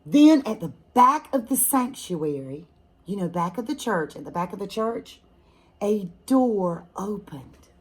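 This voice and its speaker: A woman teacher reading a picture book aloud to children, expressively and deliberately, pausing to question her young listeners. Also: mid-range voice, sing-song, slightly rough, poor audio.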